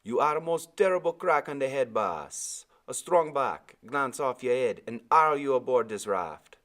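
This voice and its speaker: A man voicing a huge, very old West Indian deckhand, gentle and reassuring in folksy dialect, with a soft musical lilt. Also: mid-range voice, animated, measured pace, pitched above the performer's own voice.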